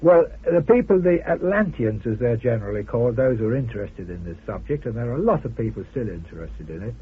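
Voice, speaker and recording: A man being interviewed as a guest author, talking informally and haltingly with wry amusement. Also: mid-range voice, expressive, slightly rough. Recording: muffled vintage radio interview.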